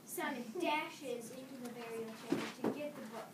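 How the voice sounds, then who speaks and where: A woman's voice: high-pitched, normal speed. A young woman reading scripted narration aloud for readers theater, stumbling now and then over words. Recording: distant echoey room, clear background noise.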